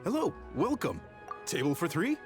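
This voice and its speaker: A man's voice: on the high side, huge pitch swings, normal speed, over music. A man voicing a hearty, proud middle-aged restaurant chef in a video game, with a jovial, theatrical salesman's manner. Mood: welcoming, jovial.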